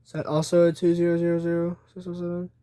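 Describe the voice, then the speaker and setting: A man's voice: a little high, monotone, fast talker, warm tone, smooth, no room sound. A man giving casual show-and-tell commentary, thinking aloud in a hesitant way. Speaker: video creator showing a collection.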